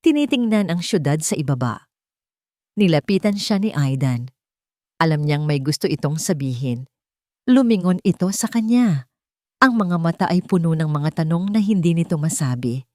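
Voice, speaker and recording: A woman's voice: expressive, moderate pace, a little mellow, dry recording, studio quality. A woman narrating a romance story in a scripted storytelling style, giving the characters' quoted lines herself. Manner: plays every character herself, shifting pitch for quoted lines.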